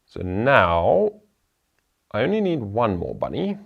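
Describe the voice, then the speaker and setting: A man's voice: fairly low pitch, huge pitch swings, takes it slowly, creaky, highs cut off. A man hosting a board game show, talking through the rules and each move of a solo playthrough in a chatty way.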